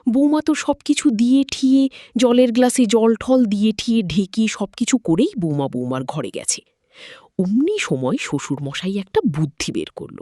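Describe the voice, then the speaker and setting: A woman's voice: on the high side, expressive, normal speed, warm tone, no echo, studio quality. A woman narrating a story informally and dramatically, in a conversational, repetitive way with filler words.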